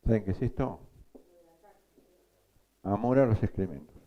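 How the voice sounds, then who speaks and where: A man teaching a psychoanalysis class, explaining slowly and quizzing his students. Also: on the low side, lively intonation, highs cut off. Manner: slow, explanatory, asks the class questions. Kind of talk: lecture.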